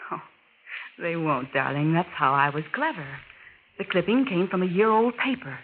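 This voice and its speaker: Actress playing a scheming wife, tinny old radio. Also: female voice, lowish voice, moderate pace, lightly acted. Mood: sly, smug. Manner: melodramatic, coaxing endearments. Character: scheming, coaxing adulterous wife, breathlessly devoted to her lover. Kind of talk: 1940s radio acting. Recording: tinny, hissy 1940s radio recording.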